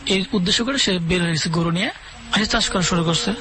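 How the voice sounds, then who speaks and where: A man's voice: high voice, quick pace, noisy background. A man calling in to a radio show, telling ghost stories in a casual, hesitant way with many fillers and restarts. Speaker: caller sharing ghost stories. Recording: radio broadcast with music underneath.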